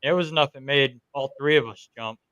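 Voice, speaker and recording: New york guy hosting a podcast, bright crisp voice, kinda dull lo-fi sound, tells stories. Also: medium pitch, brisk. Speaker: podcast host. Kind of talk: casual interview chat.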